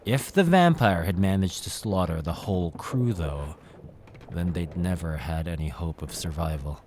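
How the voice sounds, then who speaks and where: A man's voice: low-pitched, animated, normal speed, noisy background. A man narrating a scripted horror tale as a dramatic storyteller.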